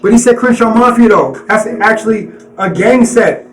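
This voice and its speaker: High-voiced man rambling on a live stream. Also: expressive, normal speed, fairly bright tone, far from the mic, poor audio. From Colorado. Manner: rambling, laid-back slang, repeats "you know what I'm saying". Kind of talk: casual live-stream chat.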